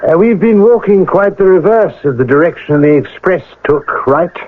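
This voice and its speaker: Radio mystery inspector: shrewd, deliberate, politely authoritative, slightly high male voice on a dull old broadcast recording. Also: lively intonation. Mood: inquisitive, musing. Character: methodical, shrewd male police inspector investigating a murder. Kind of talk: radio mystery drama acting.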